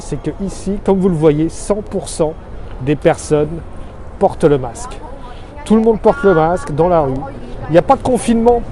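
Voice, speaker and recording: A middle-aged man from Strasbourg in France giving an earnest, explanatory video update in short, deliberate phrases, recorded outdoors on a street. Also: a little high, warm tone. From Strasbourg, Alsace, France. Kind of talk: informal spoken video report, explanatory. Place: outdoors on a busy city street corner. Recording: outdoor street noise, passing traffic. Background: faint urban traffic ambience.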